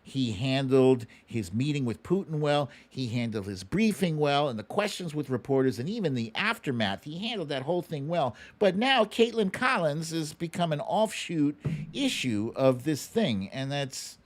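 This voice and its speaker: Animated, casual political commentator. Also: mid-range voice, moderate pace. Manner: conversational, swearing; acts out quotes animatedly. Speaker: show host.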